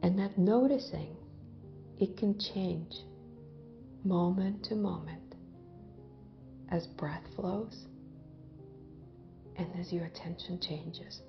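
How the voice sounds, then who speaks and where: A woman leading a guided visualization in a gentle, hypnotic way, with long pauses and soft phrase endings that trail off toward a whisper. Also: lowish voice, creaky, lo-fi sound. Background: background music.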